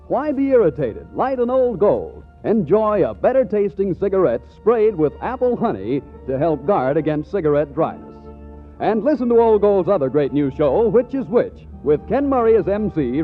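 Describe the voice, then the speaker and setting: A man announcing in scripted 1940s radio style with a polished sales pitch. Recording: tinny 1940s radio broadcast, faint music.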